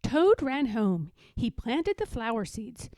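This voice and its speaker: Female storybook narrator: higher pitch, mellow tone, expressive delivery for children. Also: slow pace, no echo. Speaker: read-aloud storyteller.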